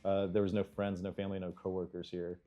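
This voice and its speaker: Guest: American man, low and mellow, halting and reflective, faint music behind. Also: completely flat delivery. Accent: American English. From United States. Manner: thoughtful, halting, clears his throat. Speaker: interview guest, engineer. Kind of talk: reflective interview answers.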